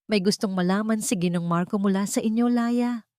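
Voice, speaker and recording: A woman's voice: mid-range voice, normal speed, a little mellow, clear, resonant tone, no room sound, clean studio sound, voice pushed up, close to an everyday voice. A woman voicing a loyal, secretive man in a drama story, cautious and respectful as he explains. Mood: earnest, explaining.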